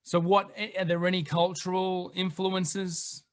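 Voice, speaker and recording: Slightly high upbeat male interviewer. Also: flat delivery, measured pace. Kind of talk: music interview.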